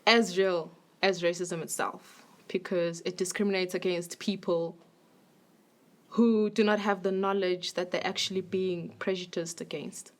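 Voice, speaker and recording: A woman's voice: lowish voice, steady tone, measured pace. A woman speaking casually in an interview, using "like" as a filler. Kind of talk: documentary interview.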